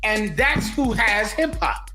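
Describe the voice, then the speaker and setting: A man's voice: high-pitched, very animated, slow, over music. A man co-hosting a casual commentary chat, speaking in a preachy, sermon-like way. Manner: sermon-like, religious talk.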